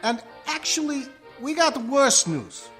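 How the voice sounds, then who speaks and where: An actor playing an eager, earnest pizza parlor worker in a comedic radio play, perky and placating. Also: male voice, very high-pitched, sing-song, takes it slowly, sharp tone, faint music behind, exaggerated voice. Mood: worried.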